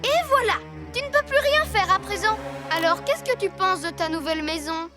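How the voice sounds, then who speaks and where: A scheming cartoon boy genius who gloats over every plan. His very high voice sounds smug and theatrical. Loud children's music plays behind him. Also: expressive, normal speed. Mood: gloating. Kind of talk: French cartoon dub.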